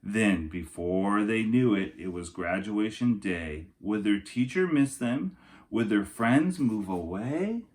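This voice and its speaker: A man with a fairly low voice reads aloud like a teacher to young children. His pitch moves in big, lively swings. The delivery is expressive and addressed straight to the listeners. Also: slow pace. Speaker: kindergarten teacher reading aloud to pupils. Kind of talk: animated children's storybook read-aloud.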